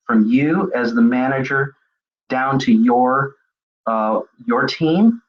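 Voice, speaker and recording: A man's voice: mid-range voice, dull audio. A man presenting a web seminar on leadership, speaking conversationally with frequent fillers and self-corrections. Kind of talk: lecture on leadership communication.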